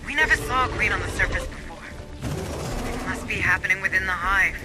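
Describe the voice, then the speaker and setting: A woman playing a wary, composed administrator on a military mission in a video game, speaking in a measured, questioning way. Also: medium pitch, loud music behind the voice, natural voice. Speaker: deputy administrator. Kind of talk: video game cutscene acting.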